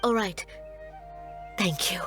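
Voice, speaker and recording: Woman acting worried villager, medium pitch. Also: slow, lightly acted. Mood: grateful. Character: anxious, pleading woman villager. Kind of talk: scripted video game dialogue. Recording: game music behind the voice.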